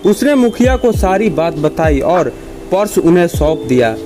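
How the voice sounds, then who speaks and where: A man reading scripted moral stories for children in a simple, measured storybook manner. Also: sits high, big pitch swings, background noise.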